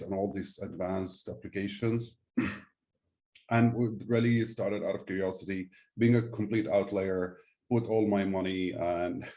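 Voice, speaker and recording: Low, mellow-voiced male founder, Syrian-born. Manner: halting, non-native phrasing. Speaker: startup founder, guest. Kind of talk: conversational interview.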